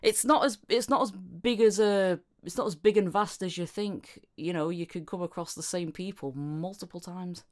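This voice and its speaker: A woman's voice: on the low side, normal speed, few pauses. A woman hosting a casual podcast chat about voice acting. She laughs often and acts out little quoted exchanges as she talks. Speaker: podcast host.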